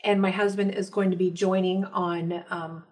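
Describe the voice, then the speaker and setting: A woman's voice: fairly low pitch, level tone, moderate pace, a little mellow. A middle-aged woman talking conversationally as a podcast guest, giving a personal account. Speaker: podcast guest, professional organizer.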